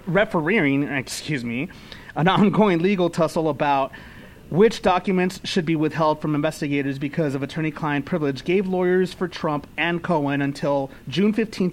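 A man from Los Angeles hosting a casual podcast, reading news headlines playfully and bantering, laughing and tripping over words. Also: fairly high pitch.

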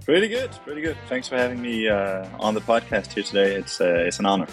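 A man in his late twenties with a Danish accent, chatting casually and haltingly as a modest interview guest. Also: fairly low pitch, animated, faint music behind. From Denmark, grew up in Copenhagen. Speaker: podcast guest, company vice president.

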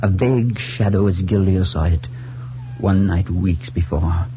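Deep-voiced grave priest, old radio. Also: male voice, dull audio, music in the background. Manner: solemn, measured, dramatic. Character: grave priest, concerned friend recounting a horror. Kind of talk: 1940s radio acting and first-person narration. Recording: noisy band-limited 1940s radio recording with hum.

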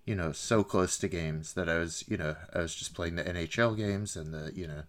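A man co-hosting a podcast, chatting casually in a halting, musing way. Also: deep voice. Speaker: podcast co-host. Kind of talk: casual chat.

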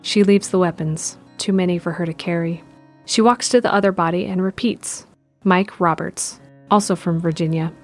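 A woman narrating a scripted audio drama in a measured, descriptive way. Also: lowish voice, fairly even intonation, faint music behind.

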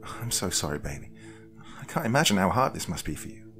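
A man voicing an earnest, sharp-minded young woman who questions insistently in a breathy way in a dramatic story. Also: fairly low pitch, big pitch swings, normal speed, slight background noise, pitched above the performer's own voice. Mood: tender, sorrowful.

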